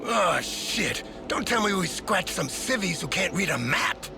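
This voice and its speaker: A man playing a hot-headed, stubborn army general in a video game, gruff and commanding, with a gravelly voice. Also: high voice, big pitch swings, unhurried, heavily acted. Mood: grim. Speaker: military general. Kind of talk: dramatic video game voice acting. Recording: loud music behind the voice.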